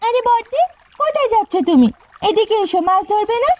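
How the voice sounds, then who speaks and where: A woman voicing a brash, scheming young village woman in a cartoon, cheeky and sharp-tongued with defiant delivery. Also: extremely high pitch, expressive, fairly quick, no room sound. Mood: casual, coaxing. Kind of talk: cartoon dialogue. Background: faint music.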